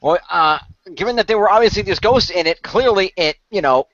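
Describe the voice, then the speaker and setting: A man's voice: sits high, expressive, a little fast, fairly bright tone, no room sound, muffled recording. A man improvising in-character dialogue in a radio role play, explaining things in a matter-of-fact way.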